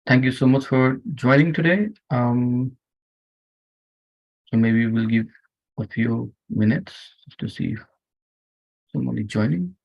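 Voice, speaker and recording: A man from Nepal in his thirties or forties with a Nepali accent, speaking informally and haltingly as host of an online meditation session. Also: medium pitch, creaky. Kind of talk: informal personal talk to online group. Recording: muffled, dull lo-fi online audio.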